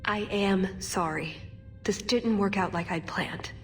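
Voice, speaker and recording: A woman's voice: medium pitch, takes it slowly, lightly acted. Game heroine, female actor: idealistic elven agent, sincere, hesitant, mid-range voice, faint music behind. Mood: regretful, earnest. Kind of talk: video game cutscene acting.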